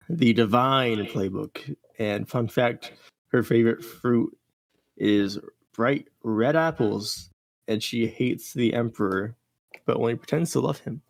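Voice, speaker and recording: A man's voice: medium pitch, slow pace, vocal fry. A man introducing his character to the group in an easygoing, wry way. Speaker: player. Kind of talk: casual chat.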